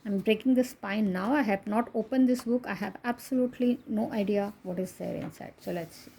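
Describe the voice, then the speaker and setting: Indian woman reviewer, mellow gravelly voice, chatty and self-deprecating. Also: mid-range voice, brisk, a little hiss or hum, rough recording. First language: Konkani. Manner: chatty, laughs often, self-deprecating asides. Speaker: coloring book reviewer. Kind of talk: casual book flip-through.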